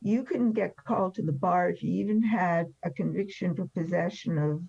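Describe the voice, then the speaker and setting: A woman's voice: fairly low pitch, moderate pace, creaky, warm tone, breathy edge, lo-fi sound. An older woman in her seventies or beyond, telling her personal story candidly in an interview in a plain-spoken, matter-of-fact way. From born in France, emigrated after the war. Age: 70s or older.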